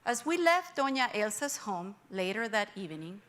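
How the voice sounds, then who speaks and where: A woman's voice: medium pitch, very animated, a bit bright. Earnest Venezuelan woman telling her story. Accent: Venezuelan Spanish. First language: Spanish. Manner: reflective, earnest, measured pauses. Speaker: forum speaker. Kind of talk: personal storytelling speech. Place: conference room with audience.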